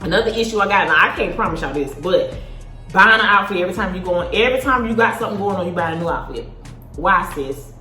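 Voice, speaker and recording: A woman's voice: mid-range voice, quick pace, faint music behind. Chatty woman in her thirties, echoey room. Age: 30s. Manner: chatty, animated, talks to viewers as baby and sis; talks while eating. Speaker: vlogger. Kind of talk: casual chat to camera.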